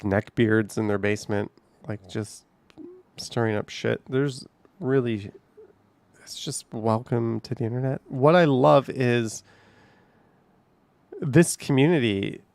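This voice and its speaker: A man's voice: mid-range voice, gravelly, a little mellow, dry recording. A man hosting a casual livestream from home, chatting loosely with his viewers in a rambling, easygoing way. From Canada. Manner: rambling, chatty, laughs easily. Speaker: livestream host reading chat.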